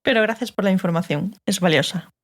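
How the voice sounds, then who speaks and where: Fantasy audiobook sorceress, female actor: stern, dry, authoritative, mid-pitched with plenty of vocal fry. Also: brisk, close to an everyday voice. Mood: wry. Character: stern, sardonic, commanding sorceress. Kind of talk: dramatized fantasy audiobook dialogue.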